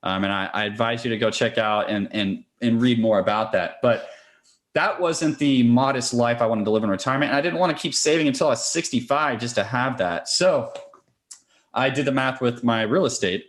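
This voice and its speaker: A man's voice: medium pitch, brisk. A man speaking as a podcast interview guest, a real estate investor explaining things conversationally and step by step.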